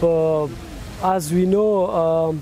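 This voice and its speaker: A man in his twenties from Afghanistan, with an Afghan accent, giving earnest testimony and halting as he searches for words. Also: on the high side, fairly even intonation. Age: 20s. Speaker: interviewee at a press conference. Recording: clear outdoor ambient noise.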